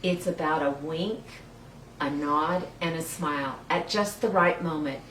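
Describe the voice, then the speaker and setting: Older female children's storyteller, playful, simple and encouraging delivery, mid-pitched, recorded in a roomy space with faint noise. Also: measured pace, fairly bright tone, recorded from a distance. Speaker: children's story time host. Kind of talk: read-aloud storytelling with chat to young children.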